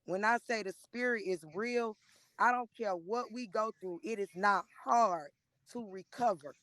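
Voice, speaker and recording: A hoarse woman in her thirties gives an emotional, preachy testimony on a live stream, speaking into a phone and often calling listeners by name. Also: mid-range voice, unhurried, fairly bright tone, no room sound. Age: 30s. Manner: earnest and preachy, repeatedly addressing listeners by name.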